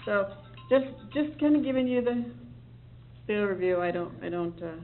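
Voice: medium pitch, expressive, normal speed, faint music behind. A city staff member briefing a board at a public meeting, rambling and correcting themself while sounding reassuring. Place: meeting room. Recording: tinny, lo-fi meeting recording with faint ambience.

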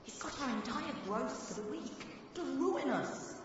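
Woman playing a feisty, animated avenging spirit, roomy sound. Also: high voice, a little slow, noisy background. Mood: urgent, insistent. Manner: indignant, sarcastic, impulsive. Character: feisty, vengeful female spirit crime-fighter, eager subordinate. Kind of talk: audio drama acting. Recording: muffled, roomy audio drama, loud music.

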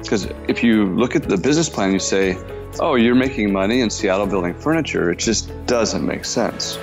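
A man in his fifties from the US Pacific Northwest, a business owner speaking in a halting, self-deprecating way with many fillers, calling in from his workshop. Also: fairly low pitch, over music. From Washington state, US. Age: 50s. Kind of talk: casual interview talk.

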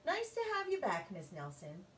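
A woman voicing a fussy, prim man who runs a school, in a lively up-and-down voice, heard from a distance in an echoey room. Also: mid-range voice, normal speed. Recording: roomy, distant, faint hum.

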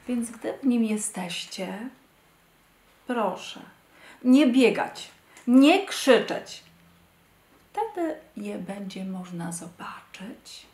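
A woman in her forties reading a rhymed children's story expressively, with deliberate dramatic pauses, in an echoey room. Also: mid-range voice. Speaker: storybook reader. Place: indoor wood-panelled room.

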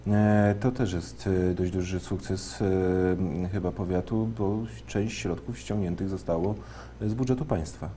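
A man in his thirties interviewing a guest in a local TV studio on a lapel mic, asking measured questions with a few fillers. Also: sits low, steady tone, warm tone, slight background noise.

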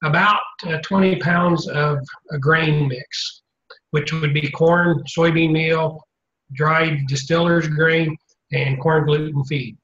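An American man in his sixties, a farmer giving figures in a halting, matter-of-fact way on a video call. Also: on the high side, a bit bright. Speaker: dairy farm worker answering questions. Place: trophy-lined office, indoors. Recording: muffled, echoey video call audio.

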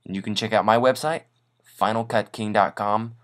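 A man hosting a casual software tutorial in an informal, upbeat, self-deprecating way. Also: fairly low pitch, fairly even intonation, normal speed. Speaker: tutorial host. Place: voice-over on a screen recording.

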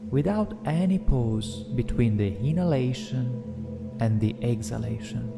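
A man narrating a guided meditation in a gentle, soothing, deliberate way, leaving long pauses between phrases. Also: mid-range voice, expressive, creaky. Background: meditation music with singing bowls.

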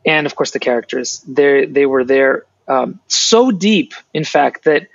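A man's voice: mid-range voice, lively intonation, unhurried, gravelly, dry recording. A man from San Francisco whose first language was Russian, chatting as an interview guest in a rambling way. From San Francisco, United States. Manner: chatty, rambling, restarts sentences. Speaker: guest author and translator. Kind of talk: casual interview talk. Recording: dry, dull lo-fi sound.

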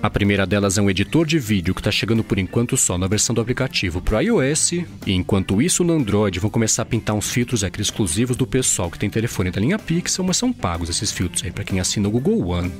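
A man's voice: lowish voice, sing-song, fast talker, hardly any pauses, soft background music. A Brazilian man hosting a daily tech news podcast, chatty and informal, peppering his reading with little tag words. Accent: Brazilian Portuguese. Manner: casual, fillers like "né" and "aí".

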